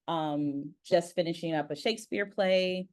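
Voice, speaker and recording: A woman hosting a casual interview, chatting in an animated, expressive way. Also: lowish voice, measured pace. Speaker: interview host. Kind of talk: casual chat.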